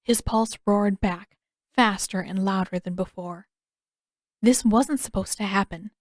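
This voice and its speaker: A woman's voice: mid-range voice, unhurried, dry recording, clean studio sound. A woman reading scripted fiction as the narrator of an audio story.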